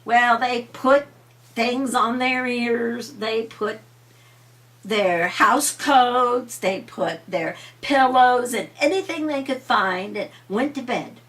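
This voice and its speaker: A woman's voice: fairly high pitch, slow pace, a bit bright, recorded from a distance. An older woman, a grandmother, telling bedtime stories in a homely, dramatic way. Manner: homely, dramatic, repeats refrains with emphasis. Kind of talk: animated storytelling for children.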